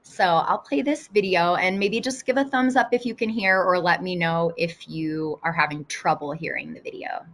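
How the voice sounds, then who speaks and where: A woman's voice: lowish voice, normal speed, few pauses, a little hiss or hum. A woman with an American accent, a teacher presenting an informal, conversational online tutorial. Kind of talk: casual webinar demonstration. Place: live online video session.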